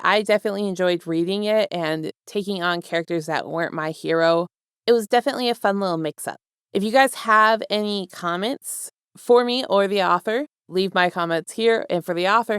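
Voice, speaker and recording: A woman's voice: medium pitch, smooth, no echo, studio quality. A woman reading fan fiction aloud as a storyteller and speaking to her listeners. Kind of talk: scripted story narration, casual outro.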